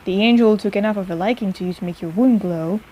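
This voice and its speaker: A woman's voice: medium pitch, slightly rough, dull audio, close to an everyday voice. A woman playing a caring, teasing, easily flustered apothecary shopkeeper who rambles in an intimate audio roleplay addressed to the listener. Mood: fond, teasing. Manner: chatty and rambling, halting, gently teasing.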